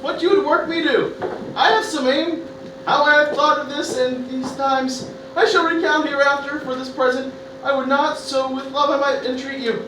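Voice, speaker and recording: Nervous, hesitant teenage boy for a comic parody, acted by a man, high voice, far from the mic with noise. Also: steady tone, normal speed, sharp tone. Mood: earnest, guarded. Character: hesitant, earnest, anxious young teenage boy, noble Roman. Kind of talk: amateur comedic Shakespeare parody performance. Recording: very echoey, distant mic, noisy.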